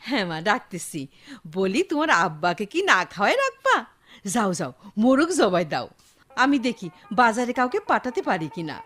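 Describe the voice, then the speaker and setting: A woman's voice: on the high side, very animated, brisk, fairly bright tone. A woman with a Bangladeshi accent acting an affectionate, hospitable older village mother-in-law in a Bengali radio drama.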